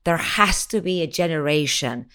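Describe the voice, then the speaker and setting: A woman's voice: deep voice, animated, normal speed, a bit bright, clean tone. A woman interviewer asking playful questions and laughing often. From Spain, Mexico, Miami and Venezuelan mix. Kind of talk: casual interview chat.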